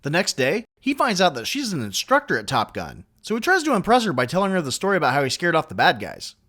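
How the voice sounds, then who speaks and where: A man recapping a film for laughs, his pitch bouncing all over the place. He drops dry, mocking asides as he tells it. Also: wildly swooping pitch, quick pace, sharp tone, slight background noise. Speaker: comedy recap narrator. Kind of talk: sarcastic comedic film recap narration.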